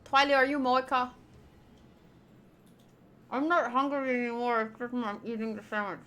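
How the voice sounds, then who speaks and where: A young woman gives casual commentary on a game as she plays. Her voice is bright and fairly high. She is chatty and playful, moving between reading dialogue aloud and rambling asides. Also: flat delivery, moderate pace, clear, resonant tone. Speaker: streamer.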